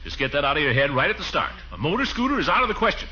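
An actor playing a pompous, blustery, soft-hearted middle-aged uncle in a 1940s radio comedy. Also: male voice, a little high, very animated, very fast, exaggerated voice. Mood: blustering. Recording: muffled 1940s radio recording.

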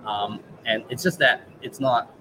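A man from Malaysia with a Malaysian English accent, a guest in a casual interview, relaxed and thinking aloud with many fillers. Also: medium pitch, unhurried, noisy background. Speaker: guest, regional manager.

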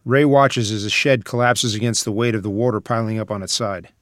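A man's voice: lowish voice, steady tone, brisk, fairly bright tone, dry recording, clean studio sound. A man reading out screenplay scene headings and stage directions as a scripted narrator.

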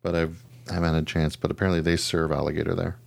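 A man's voice: deep voice, little pitch movement, rapid-fire, gravelly, no echo. A man hosting a podcast, chatting casually about odd news in a slangy, joking, profane way. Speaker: podcast host. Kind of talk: casual news chat with a co-host.

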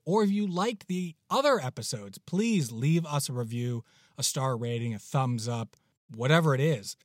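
A man's voice: mid-range voice, dry recording, studio quality. A man speaking as a podcast host, giving a casual outro.

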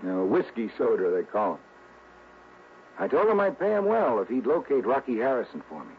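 A man's voice: medium pitch, huge pitch swings, fairly quick. An actor playing a genial, shrewd American insurance man who was once an investigator, folksy and explanatory, in a 1960s radio drama. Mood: businesslike. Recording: tinny, hissy old radio recording.

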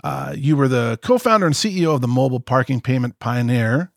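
A man's voice: mid-range voice, animated, a little fast, dry recording, studio quality. A man in his fifties co-hosting a podcast, jokey and chatty as he reads out a long guest introduction. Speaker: co-host. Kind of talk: podcast interview with an ad read.

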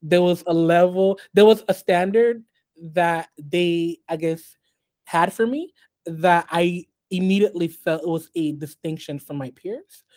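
A man born in Haiti and raised in the US, talking as a guest on a podcast interview in a measured way, with many ums and restarts. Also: high voice, darkish tone. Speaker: guest artist.

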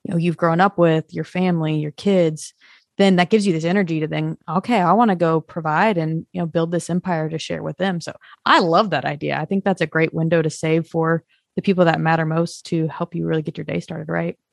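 A woman's voice: low-pitched, fairly even intonation, brisk, gravelly, no room sound. Upbeat woman host, dry sound. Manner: enthusiastic, frequent "I love it". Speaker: podcast host. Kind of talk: upbeat interview hosting.

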